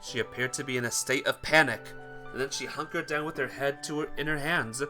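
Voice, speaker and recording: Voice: medium pitch, fairly even intonation, brisk, pushed and bright, faint music behind. A let's player reading a visual novel aloud as dramatic narration, occasionally stumbling and correcting the wording.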